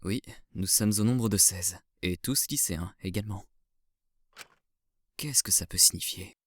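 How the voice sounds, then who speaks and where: A man playing a composed, enigmatic high school boy in an amateur video game dub. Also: a little slow, fairly bright tone. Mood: calm, knowing.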